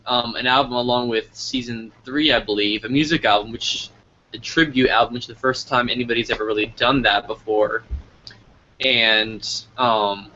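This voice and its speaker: A man hosting a casual interview, phrasing his thoughts in halting pieces. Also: mid-range voice, sharp tone. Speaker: podcast interviewer, host. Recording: noisy lo-fi online call audio.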